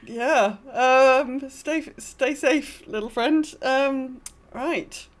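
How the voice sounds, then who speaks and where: A woman's voice: high-pitched, extremely slow. A woman co-hosting a podcast, chatting casually and reacting to what she hears. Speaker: podcast co-host.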